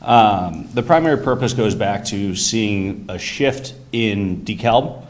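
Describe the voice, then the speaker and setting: Low-voiced man pitching his business loudly. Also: expressive, takes it slowly. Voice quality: loud voice. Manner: enthusiastic, detailed pitch. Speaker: business owner presenting to a council. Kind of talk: public comment at a meeting. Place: council chamber.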